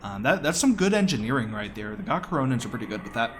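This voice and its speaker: A man's voice: mid-range voice, very animated, fast talker, slight background noise. A man giving casual, enthusiastic live commentary on his own video game speedrun in a conversational way. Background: quiet game audio.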